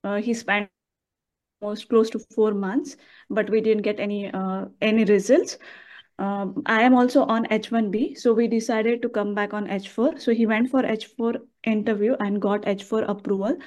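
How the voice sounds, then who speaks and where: A woman calling for advice, explaining her situation haltingly, a few words at a time. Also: medium pitch, steady tone, darkish tone. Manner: halting, phrase by phrase. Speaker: caller seeking legal advice. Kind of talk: explaining her case on a call.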